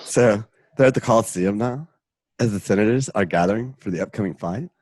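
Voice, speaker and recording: A man's voice: lowish voice, brisk, darkish tone, no echo. A man narrating a story in a casual, laid-back slacker way, improvising with lots of filler words. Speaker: narrator of an online radio novela. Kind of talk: improvised casual retelling of a film script.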